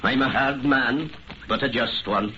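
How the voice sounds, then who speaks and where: A man's voice: medium pitch, a little slow, close to an everyday voice. An actor playing a stern, remorseful older Irish father in an old-time radio drama, grave and earnest. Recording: muffled, noisy old radio recording.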